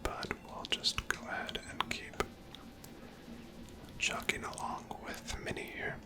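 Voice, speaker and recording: A person whispering ASMR gameplay commentary in a breathy, unvoiced voice, gently musing aloud. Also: slow pace. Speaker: video game streamer narrating own playthrough.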